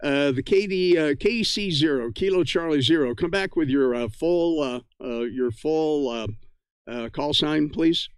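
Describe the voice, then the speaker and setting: A man casually hosting a live ham radio net, friendly and effusively thankful, with halting, filler-heavy speech. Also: on the high side, clear, resonant tone, no room sound, clean studio sound.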